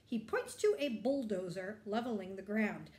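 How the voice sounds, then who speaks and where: A middle-aged woman reads a children's story in a lively, swooping voice. She pauses to explain things and put simple questions to young listeners. The room adds a noticeable echo. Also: medium pitch. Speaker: teacher reading a picture book aloud to children. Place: bright indoor room.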